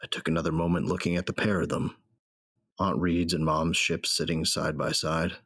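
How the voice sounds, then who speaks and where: A man acting a grieving, bitter bounty hunter who narrates his memories in a reflective, rough-spoken way for an audio drama. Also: a bass voice, flat delivery, moderate pace, fairly bright tone, no room sound, studio quality, exaggerated voice. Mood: somber reflection.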